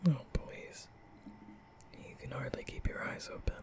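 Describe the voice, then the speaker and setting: A softly whispering young male vampire, acted by a man, nervous and tender. He speaks breathily and hesitantly, soothing and apologetic, in the intimate style of an ASMR comfort roleplay. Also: unhurried, lightly acted. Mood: tender concern.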